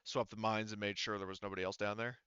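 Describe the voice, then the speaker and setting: A man acting as game master, improvising narration for a tabletop roleplaying game with halting, hesitant phrasing. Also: lowish voice, level tone, bright, forceful tone, clean tone, dry recording, lo-fi sound.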